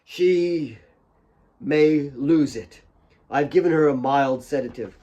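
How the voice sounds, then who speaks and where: A man's voice: fairly high pitch, dark tone. Man playing a grave, troubled doctor. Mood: grave, upset. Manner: halting, grave, indignant. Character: troubled male doctor, upset yet trying to stay composed.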